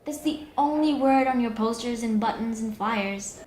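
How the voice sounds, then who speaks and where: Lady doing a perky news interviewer in a comedy audio play, high voice bouncing all over, echoey room. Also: moderate pace, a little mellow, close to an everyday voice. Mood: bright, admiring. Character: female TV news panelist, perky, earnest or starstruck. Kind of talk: comedic audio drama, mock news broadcast. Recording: roomy echo, faint background noise.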